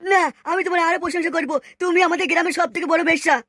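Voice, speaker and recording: Woman voicing a very high-pitched, excitable cartoon husband. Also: very fast, no room sound, studio quality. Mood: loud, emphatic. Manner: emphatic, repetitive. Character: excitable, flattering village husband, cartoon man. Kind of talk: cartoon dialogue. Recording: noisy track with clicks.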